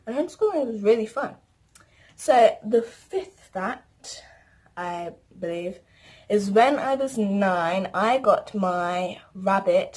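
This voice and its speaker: A woman's voice: mid-range voice, a little mellow, slightly rough. Teen girl, casual rambling vlog delivery. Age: teens. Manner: chatty, rambling, lists things. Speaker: vlogger. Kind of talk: casual chat to camera.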